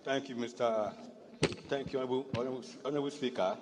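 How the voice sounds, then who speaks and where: A man's voice: medium pitch, steady tone, fast talker. A middle-aged Nigerian man, a native Yoruba speaker, giving a floor speech as a legislator. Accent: Nigerian Yoruba-region English. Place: large indoor legislative chamber. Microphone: desk gooseneck microphone. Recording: echoey noisy chamber, long reverb.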